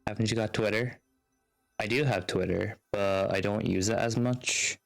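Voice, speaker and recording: Low gravelly-voiced man streaming a game. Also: steady tone, moderate pace. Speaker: video game livestreamer. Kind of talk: casual livestream chat, reading game text aloud. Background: video game music.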